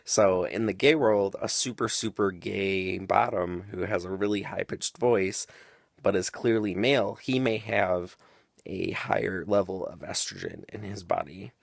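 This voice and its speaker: A young man with a deep voice talking casually to camera, explaining his views at length with frequent ums and side tangents. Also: lively intonation, a little slow, vocal fry, dry recording, clean studio sound. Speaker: vlogger.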